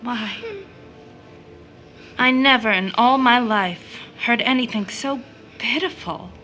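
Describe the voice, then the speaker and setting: A woman's voice: on the high side, slow pace, sharp tone, a little hiss or hum. An actress playing an earnest, sympathetic woman in an audio drama, shocked and dismayed at what she hears. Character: sympathetic, shocked woman listening to a mother's story.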